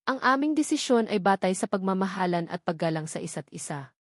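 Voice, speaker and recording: A woman's voice: medium pitch, lively intonation, brisk, no echo, lightly acted. A composed male lawyer in a romance audio story, read by a woman. He speaks formally and with control, cold toward the person he addresses. The sound is dry and close.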